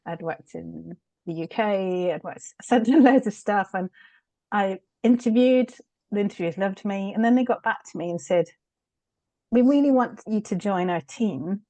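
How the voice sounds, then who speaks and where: A middle-aged woman with a British accent talks through her own stories in a candid, reflective way, often breaking into a laugh. Her voice sits at a middle pitch and is recorded close and dry, with no room sound. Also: dark tone, dry recording. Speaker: guest, change consultant. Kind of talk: candid conversational storytelling.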